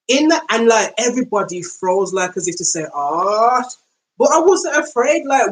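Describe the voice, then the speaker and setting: A woman with a London accent telling a story in a casual, slangy monologue, acting out quoted speech in slow, deliberate tones. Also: a little high, low-quality recording. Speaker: podcast host.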